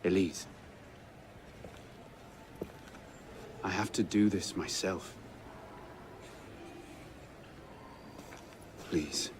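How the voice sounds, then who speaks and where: A man playing a low-voiced, determined young assassin. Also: moderate pace, background noise, lightly acted. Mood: pleading, grave. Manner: terse, serious, understated. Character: young male assassin, earnest, determined investigator. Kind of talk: dramatic video game cutscene acting.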